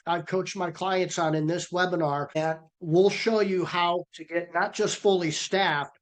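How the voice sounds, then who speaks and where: Man, middle-aged, fairly high voice, hoarse from a cold, folksy coaching talk, video call. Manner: folksy, energetic sales-coach delivery. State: losing his voice from a cold. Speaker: presenter. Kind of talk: webinar presentation.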